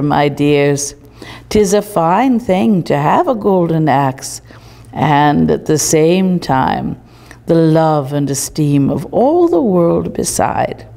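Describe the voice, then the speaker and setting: Older female performer as grandmotherly 18th-century storyteller: mellow, unhurried, formal and instructive, in a reverberant room. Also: on the low side, gravelly, heavily acted. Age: older, 60s or above. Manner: formal, old-fashioned, deliberate, didactic. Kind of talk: storytelling, reading a fable aloud to children. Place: small indoor room, seated.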